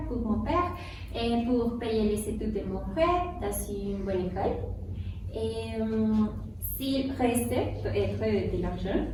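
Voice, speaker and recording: A woman's voice: medium pitch, close to an everyday voice. A woman playing a grateful quiz show contestant, hesitant. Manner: hesitant, searching for words. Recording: echoey room, distant mic, noisy.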